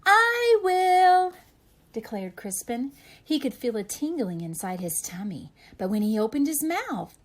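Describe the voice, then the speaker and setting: A woman reading a picture book aloud to young children with deliberate, dramatic emphasis. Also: mid-range voice, big pitch swings, warm tone.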